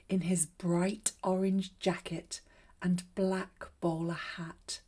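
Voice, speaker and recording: A woman reading a children's story aloud in a measured, expressive way. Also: lowish voice, breathy edge. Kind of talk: scripted storybook narration.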